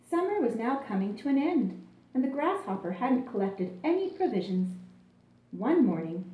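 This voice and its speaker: Casting: female children's storyteller, soft-toned and very lively in melody, deliberate, roomy recording. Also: on the high side. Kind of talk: scripted children's story narration. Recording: echoey room.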